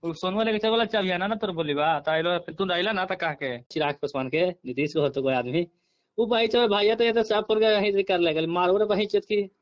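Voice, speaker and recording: Bihari male with a notably high voice, casual rough phone chat, muffled low-fidelity recording. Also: a little fast. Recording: dull lo-fi phone call recording.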